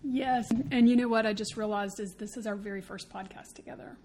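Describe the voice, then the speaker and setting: A woman's voice: mid-range voice, fairly even intonation, fairly quick. A woman speaking as a podcast co-host in casual, unscripted conversation. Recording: clear background noise, ambience.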